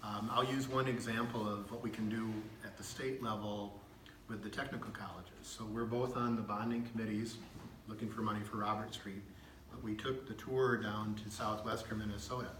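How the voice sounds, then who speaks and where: A man's voice: fairly low pitch, fairly even intonation, moderate pace, a bit bright. A man in his fifties, a state legislator, answering at a town hall in a folksy, anecdotal way. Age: 50s. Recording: echoey room, faint background noise.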